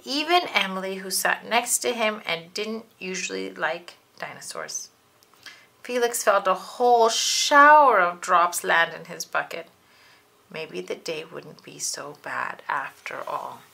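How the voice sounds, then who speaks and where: Expressive, slow-paced woman reading a storybook. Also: lowish voice, creaky. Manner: dramatic, deliberate. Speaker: storybook reader. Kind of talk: expressive children's read-aloud narration.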